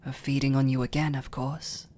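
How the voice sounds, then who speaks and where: A man playing a tender, restrained vampire lord in a romantic ASMR roleplay, with a British accent and a breathy voice that often slips into whispers, speaking gently and intimately. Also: medium pitch, little pitch movement, a little hiss or hum, natural voice. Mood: apologetic, hopeful. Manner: slow, gentle, intimate, formal phrasing. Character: tender, restrained male vampire lord, doting on his servant.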